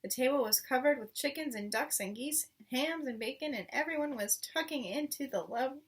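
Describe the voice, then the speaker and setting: A woman reading a children's story aloud in an expressive, dramatic way. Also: high voice, brisk, slightly rough, far from the mic. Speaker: storybook reader.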